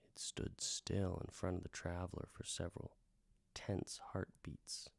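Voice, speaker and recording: A man telling a fantasy tale as a folksy bard, in a florid, theatrical manner with hushed, dramatic emphasis. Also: low voice, flat delivery, a little slow, gravelly, no echo, whispered. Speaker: host, storytelling bard. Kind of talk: theatrical first-person fantasy storytelling, radio drama.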